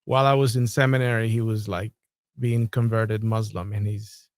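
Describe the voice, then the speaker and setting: A man from Colombia who talks in a measured, thoughtful way, with some restarts, in a casual podcast conversation. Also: lowish voice, little pitch movement, no echo. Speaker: podcast host.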